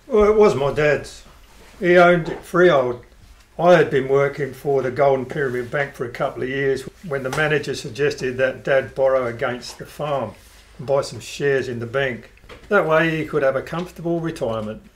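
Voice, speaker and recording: An actor playing a calm, cheeky bandit in an amateur radio play. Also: male voice, mid-range voice, moderate pace, slight background noise, lightly acted.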